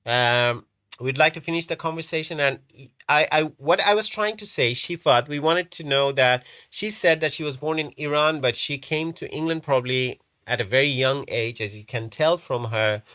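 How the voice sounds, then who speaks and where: A man's voice: a little high, moderate pace, bright, forceful tone, smooth, no room sound. Chatty male call-in host, dry sound. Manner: chatty, rambling with restarts. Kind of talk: live call-in show chat. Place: London show set-up.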